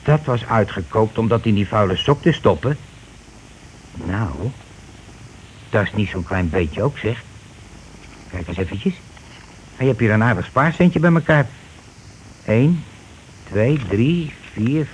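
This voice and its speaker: A man's voice: mid-range voice, expressive, measured pace, pauses often, natural voice. Man acting a scheming, blustering husband on noisy radio. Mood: excited, greedy. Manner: wheedling, self-justifying, colloquial patter. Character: scheming, blustering, money-grabbing husband and landlord. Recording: noisy old radio drama recording.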